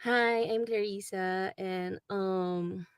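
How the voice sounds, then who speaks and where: Hesitant Filipino woman, halting speech. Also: mid-range voice, fairly even intonation, smooth, no room sound, studio quality. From Philippines. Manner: hesitant, many ums and likes. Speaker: travel nurse. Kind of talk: recorded video message.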